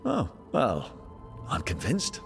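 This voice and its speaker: A man playing a calm, wry hero who leads a party in a video game cutscene, talking encouragingly. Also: lowish voice, slow, gravelly, faint music behind, full character voice. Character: steady male hero leading a party. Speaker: player character.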